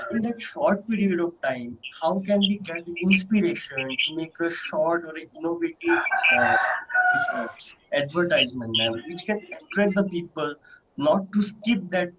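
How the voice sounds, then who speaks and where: Distant, higher-pitched young Indian-accented man. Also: fairly bright tone. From Bangalore, India. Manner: polite, deferential. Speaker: seminar attendee asking a question. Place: online call. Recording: distant mic with faint noise.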